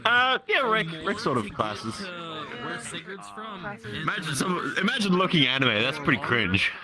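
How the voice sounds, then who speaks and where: A man's voice: on the high side, very animated, measured pace, crowd noise. A man in casual, sweary banter with fellow gamers. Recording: loud music behind. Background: music.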